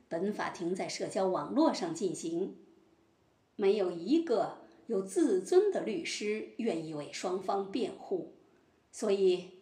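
A woman playing a solemn judge in a mock-trial audio drama, speaking formally and deliberately. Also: medium pitch, warm tone. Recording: roomy echo.

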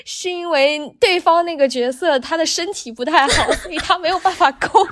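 A woman with a thin, bright, lively voice, talking casually as a voice actor guest on a podcast, explaining things in an animated way. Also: very high-pitched, normal speed, background noise.

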